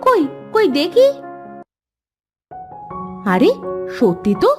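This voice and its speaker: Woman acting a high-pitched, lively village mother. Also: very slow, full character voice. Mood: puzzled. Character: village mother and housewife, homely and surprised. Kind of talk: Bengali cartoon dubbing. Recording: music behind the voice.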